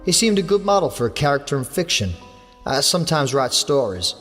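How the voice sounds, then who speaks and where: A man's voice: on the high side, very animated, brisk, fairly bright tone, long reverb tail, soft background music. An English man playing a young male writer giving touchy, clipped answers at an inquest. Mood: plain. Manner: hot, clipped replies.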